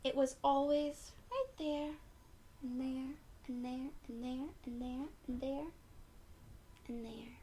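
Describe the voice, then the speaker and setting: A woman storyteller speaks to young children in a high voice at an unhurried pace. She is gentle and encouraging, reading expressively and addressing her listeners directly. Some room noise sits behind her voice. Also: level tone. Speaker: children's read-aloud storyteller. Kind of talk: expressive picture-book read-aloud for young children.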